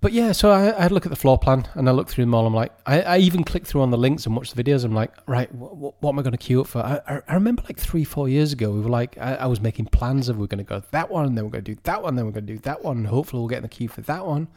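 A man's voice: medium pitch, animated, racing, few pauses, a little mellow. A man in his forties, co-hosting a podcast in casual chat, stammering a little on restarts. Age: 40s. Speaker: podcast co-host.

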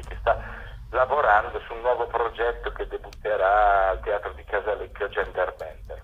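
Low-voiced man on a noisy phone line. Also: fairly bright tone. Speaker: theatre maker, interview guest. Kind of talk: explanatory radio interview talk. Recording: dull, noisy telephone line to radio.

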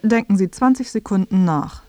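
A woman's voice: mid-range voice, normal speed, mellow, dry recording. A woman narrating a scripted radio feature, addressing the listener directly in a wry way.

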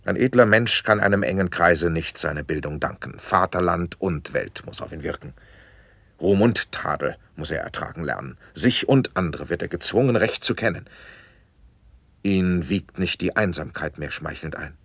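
An actor playing an impatient, commanding prince in a classic German radio play, speaking stately verse with elevated rhetoric. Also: male voice, deep voice, lively intonation, normal speed, creaky, fairly bright tone, no room sound, full character voice. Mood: firm, resolute.

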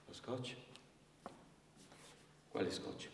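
An actor playing a controlling, belittling husband on stage, needling and insistent, with menace and scorn. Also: male voice, extremely slow. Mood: scornful. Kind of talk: live theatrical acting. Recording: distant echoey hall, faint noise.

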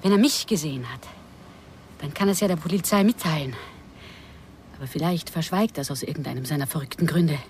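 An actress playing an anxious, defiant runaway wife in a radio drama, speaking in an agitated, aggrieved way. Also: female voice, low voice, a little fast, pauses often, darkish tone, a little hiss or hum, natural voice. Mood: aggrieved, indignant.

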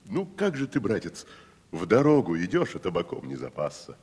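An actor playing a proud, haughty provincial tragedian, patronizing and theatrical, in a 1970s audio stage drama. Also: male voice, low voice, wildly swooping pitch, moderate pace, a little mellow, husky, exaggerated voice. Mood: lordly scolding. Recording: reverberant hall-like studio recording.

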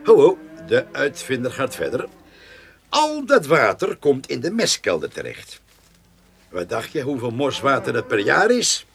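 An actor playing a cheeky, proud farmhand inventor in a radio play, colloquial with mock-grand salesmanship. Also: male voice, medium pitch, huge pitch swings, measured pace, creaky, fairly bright tone, husky, full character voice. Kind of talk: comic radio play dialogue.

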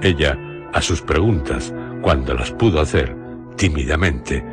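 Extremely deep male storyteller over music. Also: expressive. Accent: Spain. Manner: measured, solemn, phrase by phrase. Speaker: narrator. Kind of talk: scripted radio drama narration. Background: prominent music.

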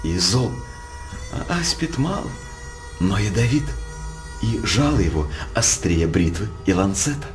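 Scheming royal counsellor, lively intonation, insinuating, envious, mock-deferential, stage verse, background music. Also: male voice, fairly low pitch, measured pace, long pauses. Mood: venomous. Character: sly, venomous, envious male royal counsellor. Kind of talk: theatrical verse radio drama acting. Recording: music behind the voice.

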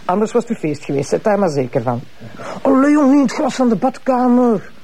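A woman playing a blunt, teasing, sharp-tongued prosecutor married to a police inspector in a TV crime drama, with a Flemish accent. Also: fairly high pitch, sing-song, brisk. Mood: brisk, explaining. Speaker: actress in a TV crime series. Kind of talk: naturalistic TV drama acting.

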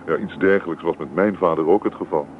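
An actor playing a polite, eager young would-be farmer in a radio play, modest and straightforward. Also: male voice, sits low, quick pace, lightly acted. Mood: polite eagerness. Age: young adult. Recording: noisy narrowband old radio play, car sounds.

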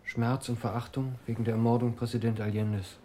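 A man's voice: medium pitch, flat delivery, fairly quick, warm tone, close to an everyday voice. A young man acting in a radio play as a humble village postman, speaking simply, earnestly and respectfully. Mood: dutiful.

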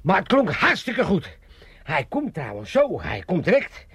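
A man's voice: high-pitched, huge pitch swings, unhurried, a bit bright, husky, no echo, full character voice. An actor playing a wily, self-pitying old father in a radio comedy, boastful and wheedling, with an Amsterdam accent. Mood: boastful, rambling. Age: older. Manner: theatrical grumbling and coaxing. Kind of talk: 1980s comedy radio drama acting.

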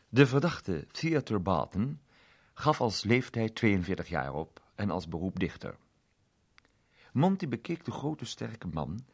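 A man narrating a detective story in a measured, expressive reading. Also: lowish voice, breathy edge.

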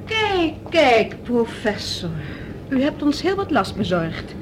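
Icy female alien leader, high animated voice, formal threats and clipped orders, noisy old radio drama tape. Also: slow pace, exaggerated voice. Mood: icy menace. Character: cold commanding female leader of alien mind-controllers. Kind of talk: Dutch radio drama acting.

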